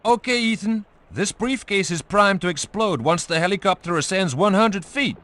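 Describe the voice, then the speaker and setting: A man's voice: high voice, animated, normal speed, over music. A man playing a practical covert operative in a video game, giving matter-of-fact instructions to his teammate. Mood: businesslike. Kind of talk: scripted video game dialogue.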